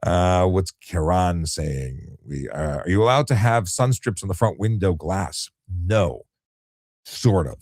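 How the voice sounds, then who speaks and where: A middle-aged man with a low voice, calmly explaining things in a relaxed late-night live chat as he reads out viewer questions. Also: slow, no echo, clean studio sound. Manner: calm, explanatory, many restarts and fillers. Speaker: retired traffic police officer, live-stream host.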